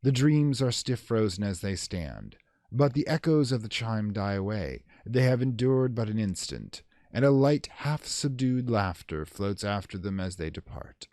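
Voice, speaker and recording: Low voiced guy reading gothic horror, very theatrical and solemn, lots of pitch swing, dramatic pauses. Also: lively intonation, darkish tone, no room sound, studio quality. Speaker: narrator.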